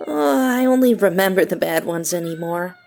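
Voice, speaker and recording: A woman's voice: on the low side, animated, normal speed, gravelly, a little mellow. A woman playing a guarded, skeptical young Navy veteran in an audio drama, dry and curt in manner. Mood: softening, weary.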